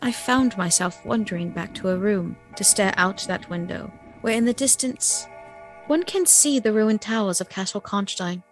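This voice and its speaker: An actress playing a haunted young gentlewoman recounting a gothic tale in a radio play. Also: medium pitch, normal speed, faint music behind, close to an everyday voice, rough recording. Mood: reflective. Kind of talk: dramatic first-person narration in a radio play.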